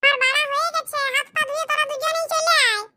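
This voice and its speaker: A plain-spoken, affectionate village mother who fusses over her family in a comedy cartoon. Her voice is extremely high and bright, with a pitched-up filter. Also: fairly even intonation, fast talker, exaggerated voice. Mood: calling, bustling.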